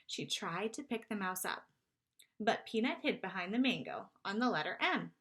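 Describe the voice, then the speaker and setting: A woman narrating a children's chapter book aloud in an expressive storytelling style. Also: mid-range voice, moderate pace. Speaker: storybook reader.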